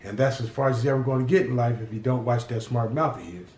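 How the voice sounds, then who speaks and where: A man doing a calm, placating henchman. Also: mid-range voice, fast, close to an everyday voice. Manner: easygoing, placating, dry humor. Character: calm loyal middle-aged male henchman. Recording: roomy echo.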